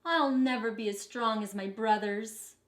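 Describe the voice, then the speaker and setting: A woman's voice: fairly high pitch, unhurried, natural voice. A woman voicing a small, determined young princess in a children's storybook, plaintive and insistent. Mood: discouraged. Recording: echoey, reverberant room.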